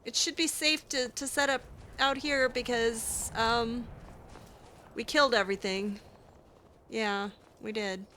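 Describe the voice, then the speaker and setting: Unhurried woman roleplaying through a game, bright voice. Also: a little high, steady tone, slight background noise. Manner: talks aloud to herself, musing. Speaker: let's play host roleplaying her character. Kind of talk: in-character improvised game narration.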